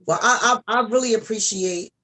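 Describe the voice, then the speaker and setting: A woman's voice: fairly high pitch, moderate pace. A woman from New Jersey hosting a casual talk radio chat, with an ordinary mid-range voice. She keeps encouraging her guest along and breaks into easy laughter. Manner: warmly encouraging, laughs easily. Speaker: radio show host.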